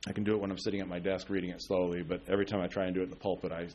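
A man's voice: low voice, level tone, very fast, darkish tone, muffled recording, a little hiss or hum. Guy preaching from the pulpit, lots of uh and you know, kinda rambly and chatty. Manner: rambling, many fillers and restarts, chuckles at himself. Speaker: pastor. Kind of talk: conversational sermon. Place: church pulpit.

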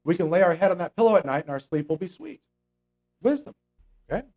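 A man's voice: high-pitched, big pitch swings, fast, a little mellow, lo-fi sound. A man preaching a conversational Bible teaching sermon, explaining scripture and often checking in with "okay?" and fillers. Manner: mocks imagined religious people in a raised voice.

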